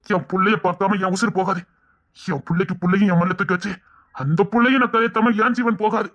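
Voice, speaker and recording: A man's voice: sits high, fairly even intonation, quick pace, fairly bright tone, highs cut off. An actor playing a grieving father who weeps and pleads for his missing child, repeating himself over and over. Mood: distraught. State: crying. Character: grief-stricken father, desperate and stubborn. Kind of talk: tearful serial drama acting.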